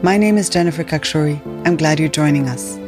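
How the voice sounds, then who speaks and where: A woman hosts a podcast in calm, scripted narration, speaking in a lowish register. Her delivery stays even and storytelling. Soft music sits quietly beneath her. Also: fairly quick. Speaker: podcast host.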